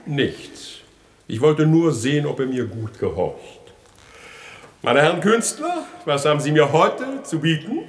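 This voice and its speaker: An actor in a 1960s German radio comedy playing a vain, gullible rich bourgeois who talks pompously and with bluster. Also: male voice, a little high, big pitch swings, unhurried. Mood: bossy, fussy. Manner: pompous, self-satisfied, blustering.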